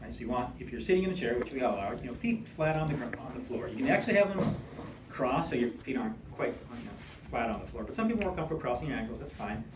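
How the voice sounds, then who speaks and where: A man leading a meditation class, speaking in a gentle, soothing, conversational way. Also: mid-range voice, animated, very fast. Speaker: meditation instructor. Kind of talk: guided meditation instruction. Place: room with attendees seated in chairs. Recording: echoey room, distant mic, background noise.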